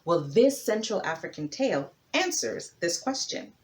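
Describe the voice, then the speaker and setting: A woman narrating a folktale as a storyteller, with theatrical emphasis and dramatic pauses. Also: medium pitch, very animated.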